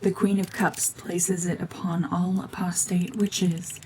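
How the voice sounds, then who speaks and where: A woman voicing a cold, mean renegade gorgon witch in a hushed, half-whispered ASMR drama, domineering and sardonic. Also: fairly low pitch, level tone, slow, a little hiss or hum, rough recording. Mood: guarded, grim.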